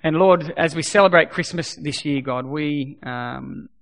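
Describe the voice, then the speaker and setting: Croaky aussie pastor guy, middle-aged, high voice, preaching hard and repeating himself. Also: a little slow. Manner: emphatic, swings from loud repeated exhortations to soft prayer. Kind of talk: sermon.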